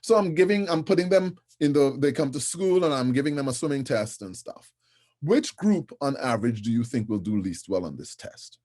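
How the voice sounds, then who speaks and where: A middle-aged man from Trinidad and Tobago giving a conference lecture in a conversational way, often checking in with "right?" and filling pauses with "um". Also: medium pitch, expressive, a little mellow. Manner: conversational, with frequent tag "right?" and fillers.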